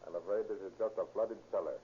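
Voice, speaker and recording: An American actor playing a skeptical, plain-spoken police officer in a 1930s radio drama. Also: male voice, lowish voice, fast talker, natural voice. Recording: muffled, noisy 1930s radio recording.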